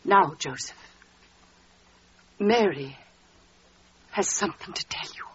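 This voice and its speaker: A woman's voice: fairly low pitch, expressive, slow, slight background noise. An actress in a 1940s radio drama playing an anxious, devout mother and wife with an emotive, melodramatic delivery. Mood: grave, urgent. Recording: muffled 1940s radio recording.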